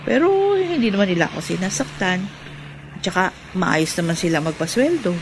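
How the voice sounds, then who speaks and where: A woman with a Filipino accent playing a helpful, chatty housemaid friend in a radio drama, with an animated conversational delivery. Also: lowish voice, a little fast, background noise. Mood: helpful, frank. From Philippines. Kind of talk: classic radio drama acting.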